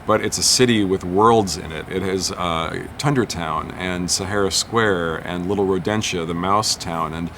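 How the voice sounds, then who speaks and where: A man's voice: deep voice, unhurried, few pauses, background noise. A man being interviewed about the film he directed, explaining earnestly. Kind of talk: press interview.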